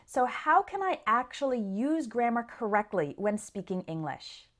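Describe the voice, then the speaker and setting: A woman English teacher giving an instructional lesson, explaining things clearly and speaking directly to learners. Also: on the high side, big pitch swings, a little slow, fairly bright tone. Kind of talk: instructional lesson to camera or listeners.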